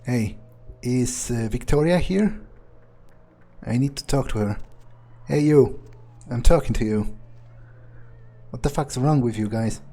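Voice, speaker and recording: Jaded male vampire for a game monologue played by a man: low-pitched, mellow, sardonic, halting and foul-mouthed, music behind. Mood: impatient. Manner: halting, muttering, profane, sardonic. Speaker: player of a role-playing video game. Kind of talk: in-character gameplay monologue, thinking aloud.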